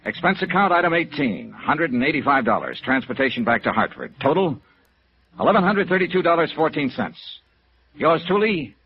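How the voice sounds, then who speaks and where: An actor playing a terse, hardboiled yet compassionate American insurance investigator in 1950s radio noir, with clipped dramatic delivery. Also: male voice, fairly high pitch, very animated, brisk, slightly rough, heavily acted. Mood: wry, matter-of-fact. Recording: dull vintage radio recording with music.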